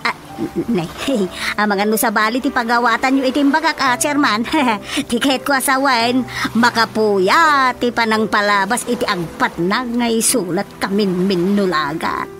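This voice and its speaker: An actress plays a good-humoured, teasing village woman with a Filipino accent. Her fairly high voice chatters and exclaims over clear background music, in the manner of radio comedy. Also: unhurried, natural voice. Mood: amused, chatty. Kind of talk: radio drama comedy acting. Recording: radio drama with music underneath.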